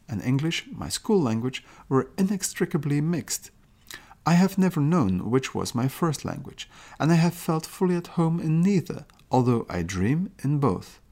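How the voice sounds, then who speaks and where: Gravelly-voiced man lecturing in a podcast. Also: mid-range voice, darkish tone. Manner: measured, explanatory delivery with occasional restarts; quotes passages aloud. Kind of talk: lecture-style podcast narration.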